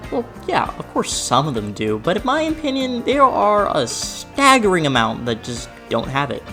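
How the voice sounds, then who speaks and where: Lowish voiced woman doing a youtube essay, sarcastic and dramatic, really animated, music behind her. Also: normal speed. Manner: theatrical, sarcastic, exaggerated emphasis. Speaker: video essay host and reaction YouTuber. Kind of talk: scripted video essay narration addressed to the viewer. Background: background music.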